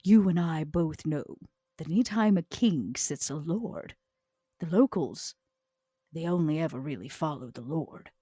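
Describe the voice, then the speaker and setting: A shrewd, proud female vampire , acted by a woman with a lively, swooping delivery. She is theatrical and cutting, choosing words deliberately, in a dry close recording of a tabletop game. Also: sits low, slightly rough, no echo, full character voice. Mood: conspiratorial, coaxing. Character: Vera, proud, shrewd, long-lived female vampire enforcer. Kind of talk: improvised tabletop roleplay.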